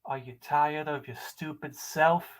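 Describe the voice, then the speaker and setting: A man's voice: on the high side, steady tone, measured pace, natural voice. A man performing a dramatic audition monologue as a bitter, accusing man, in a melodramatic manner.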